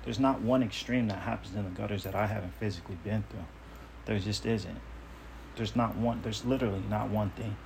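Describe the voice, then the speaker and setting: A man's voice: lowish voice, fairly even intonation, a little fast, creaky, darkish tone, slight background noise. A man talking on his own podcast in a casual monologue, earnest, self-assured and emphatic. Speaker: podcast host.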